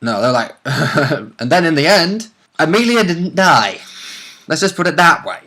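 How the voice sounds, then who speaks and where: A man's voice: a little high, big pitch swings, measured pace, pushed and bright. A man chatting casually and bluntly as a player recapping a tabletop game.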